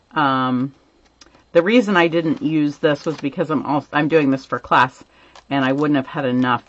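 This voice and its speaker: A woman's voice: sits low, a bit bright, highs cut off. A woman hosting a live stamping class from home, chatting casually with viewers and showing craft supplies, her voice a little worn as she says she is losing it. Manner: chatty, greets viewers by name, many ums. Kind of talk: casual live-stream chat and craft demo.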